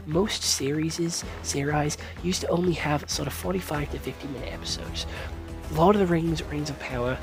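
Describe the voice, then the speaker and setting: A man giving a casual, rambling series review. He swears often, gets excited, and stumbles over words at times when he talks fast. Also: mid-range voice.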